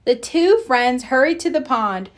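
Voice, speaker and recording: Slow, higher-voiced woman reading a storybook. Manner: expressive, deliberate read-aloud. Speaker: storybook reader. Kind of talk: scripted storybook narration for children.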